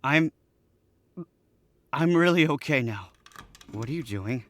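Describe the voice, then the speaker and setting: A man's voice: medium pitch, expressive, slow, a bit bright. A man playing a drunk jittery accomplice. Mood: anxious. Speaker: radio play actor. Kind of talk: old-time radio drama recreation.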